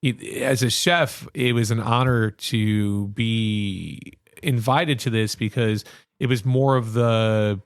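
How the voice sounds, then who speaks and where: A man with a mid-range voice tells stories as a guest chef, animated and quick, tripping over restarts as he goes. The sound is dry and close. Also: lowish voice, no echo. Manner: animated storyteller, many restarts. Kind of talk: casual interview chat.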